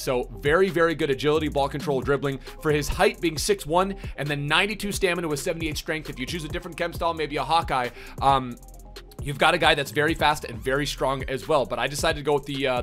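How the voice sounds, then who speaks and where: A young man giving chatty, upbeat commentary on a video game card, his voice a little rough from streaming late, with plenty of fillers, in a small room at a desk mic. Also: fairly high pitch, fairly bright tone. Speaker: gaming YouTuber. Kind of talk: casual game review commentary. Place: small indoor room, gaming setup.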